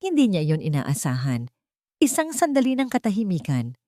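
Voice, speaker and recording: A woman's voice: mid-range voice, huge pitch swings, moderate pace, mellow, no room sound, clean studio sound. A woman reading a scripted romantic drama as a storyteller. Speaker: story narrator.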